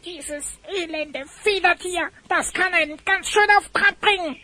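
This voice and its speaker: A small, grumbling elf goblin for a radio play. The voice is piercingly high and bright. The goblin is touchy and sarcastic, forever sulking. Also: a little slow, full character voice. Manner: sulky, sarcastic, easily offended.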